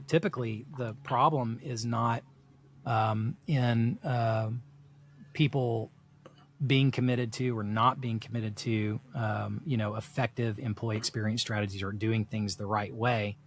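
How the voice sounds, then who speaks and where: A man's voice: mid-range voice, steady tone, measured pace, a little hiss or hum. A middle-aged man from South Texas, talking through ideas in a rambling, reflective way full of "you know" fillers on a podcast. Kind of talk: casual podcast discussion.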